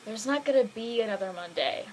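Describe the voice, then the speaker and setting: A woman's voice: medium pitch, lively intonation, fairly quick, slight background noise, lightly acted, low-quality recording. A woman acting a casual, conversational character in a scripted drama table read. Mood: urgent.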